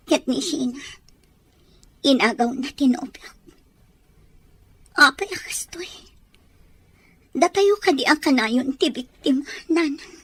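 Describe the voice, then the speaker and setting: A woman's voice: sits high, animated, takes it slowly, long pauses, a bit bright, no room sound, full character voice. A woman acting a wounded, bitter young daughter jilted by her lover in an Ilocano radio drama. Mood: hurt, bitter.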